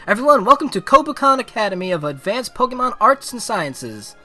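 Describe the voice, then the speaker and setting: A formal, poised student council president girl, acted by a man in a visual novel. The speech is measured and earnest. The voice sits high, with faint music behind it. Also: very animated, bright, forceful tone, full character voice.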